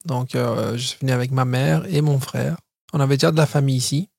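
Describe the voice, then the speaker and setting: Gravelly Brazilian-born man in his thirties. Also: mid-range voice, steady tone, fairly quick, a little mellow, dry recording, studio quality. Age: 30s. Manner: storytelling with frequent fillers and restarts. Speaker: guest entrepreneur. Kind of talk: casual podcast interview.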